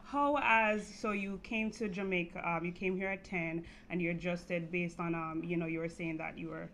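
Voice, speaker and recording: A woman's voice: fairly low pitch, little pitch movement, moderate pace, a bit bright, slight background noise. Woman hosting a studio interview. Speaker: student interviewer hosting a program. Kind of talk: interview questions.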